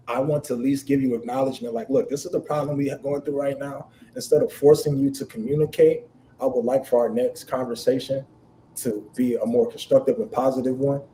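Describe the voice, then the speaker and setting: A man with a deep voice talking bluntly and emphatically in a casual live-stream chat, swearing freely. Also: dark tone, slight background noise, rough recording. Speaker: guest.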